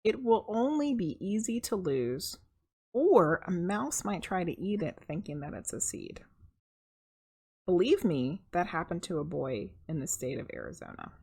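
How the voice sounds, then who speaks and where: A middle-aged woman reading a children's picture book aloud, expressive and conversational, with casual asides to her viewers and a slightly husky voice. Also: on the low side, measured pace.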